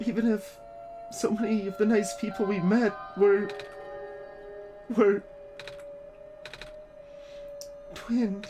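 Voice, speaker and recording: A woman voicing a gentle, selfless lost child in a video game, speaking in a hesitant, earnest way. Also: medium pitch, level tone, a little slow, over music, natural voice. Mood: earnest resolve. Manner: hesitant, soft-hearted, earnest. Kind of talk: voiced video game dialogue reading.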